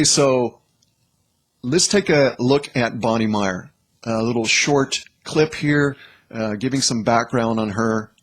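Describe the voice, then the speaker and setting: Casual man hosting, mid-range voice. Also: medium pitch. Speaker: host presenting clips. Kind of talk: casual rambling talk to viewers.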